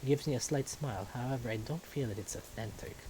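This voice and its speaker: A man reads visual novel narration aloud at a medium pitch. He tells the story line by line and occasionally trips on a word. The recording carries some background noise. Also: quick pace, warm tone, rough recording.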